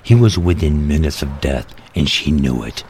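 Very deep male story narrator. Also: expressive, normal speed, a little mellow, slight background noise. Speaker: author narrating own story. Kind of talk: scripted dramatic narration. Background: music.

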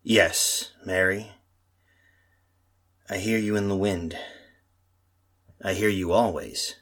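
Man acting a haunted husky husband, deep and dramatic. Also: steady tone, fairly bright tone, heavily acted. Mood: anguished, brooding. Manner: theatrical, brooding, halting monologue. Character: tormented lost husband, haunted, telling his doom. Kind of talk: old radio horror drama acting.

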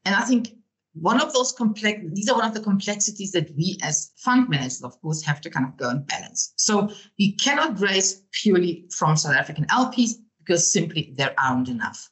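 A woman's voice: lowish voice, lively intonation, fairly quick, fairly bright tone, poor audio. A middle-aged woman from Germany with a German accent, answering interview questions as a venture capital partner, often padding with fillers and repeated words.

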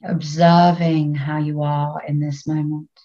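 A woman leading a guided meditation in a soothing, unhurried way, leaving long pauses between short phrases. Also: low voice, little pitch movement, mellow, highs cut off.

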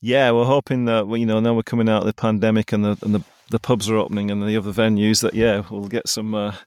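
A man's voice: on the low side, flat delivery, fast talker, darkish tone, clear, resonant tone, no room sound. Chatty male interviewer, dry close sound. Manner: chatty, hesitant, with many you know and restarts. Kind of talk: casual interview.

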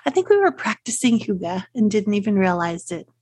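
A woman's voice: medium pitch, very animated, normal speed, a little mellow, husky, no echo. A middle-aged woman with a Texas accent hosts a solo podcast, talking in a friendly, chatty way and often asking rhetorical questions. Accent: Texan. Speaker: podcast host. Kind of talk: scripted solo podcast talk.

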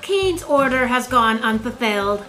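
Efficient female aide, cool, clipped, controlled, higher voice, audio drama, light music behind. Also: animated, unhurried, pushed and bright. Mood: cool, stern. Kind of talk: scripted audio drama acting.

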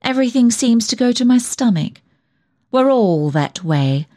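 A nosy, swooping village gossip. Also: female voice, a little high, sing-song, unhurried, mellow, dry recording, studio quality. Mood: fussy, gossipy. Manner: insinuating, chatty, self-important, fussy. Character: nosy, snobbish village dressmaker and town gossip. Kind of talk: comic dramatic monologue reading.

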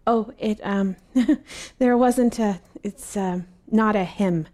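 An actress playing a modest young college student in an audio drama, hesitant, polite and apologetic. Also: female voice, mid-range voice, slow, dark tone, natural voice. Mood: hesitant, awkward. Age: young adult. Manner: hesitant, polite, self-effacing. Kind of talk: fiction podcast audio drama acting.

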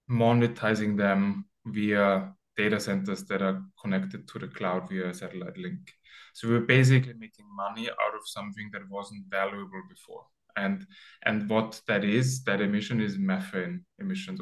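A man's voice: lowish voice, a bit bright. A man from Austria, a founder as interview guest, explaining step by step over a remote call with many ums. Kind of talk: casual interview talk.

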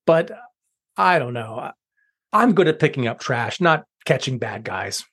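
A man voicing a modest, timid garbage collector who speaks in a halting, mild way. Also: mid-range voice, big pitch swings, fairly bright tone, husky, heavily acted. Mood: hesitant.

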